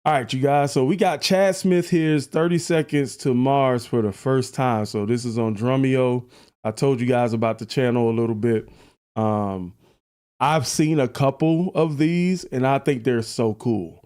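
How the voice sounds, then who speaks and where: Deep-voiced man chatting on a live stream. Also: a little slow, a little mellow, clean tone. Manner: chatty, laughs at his own jokes, many fillers. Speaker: livestream reaction host. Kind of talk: casual live-stream chat.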